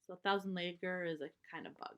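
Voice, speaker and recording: A woman's voice: fairly low pitch, fast talker, a bit bright. A woman reading a children's storybook aloud, sometimes stumbling over words and repeating them. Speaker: storybook reader.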